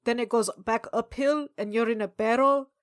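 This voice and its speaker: Very high-voiced woman in roleplay banter. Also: moderate pace, clean tone. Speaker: tabletop roleplayer on a podcast. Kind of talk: improvised comic roleplay banter.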